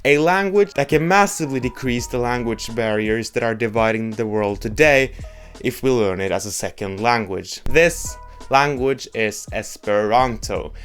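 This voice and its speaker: A man's voice: mid-range voice, animated, a little slow, a bit bright, soft background music. A young man with a Norwegian accent, a native Norwegian speaker, giving a blunt, joking opinion monologue as a video host. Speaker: YouTube host.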